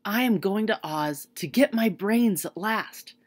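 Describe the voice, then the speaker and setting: A woman's voice: mid-range voice, animated, measured pace, pitched above the performer's own voice. A woman voicing a cheerful, eager male scarecrow of straw, earnest and hopeful, in a storybook reading.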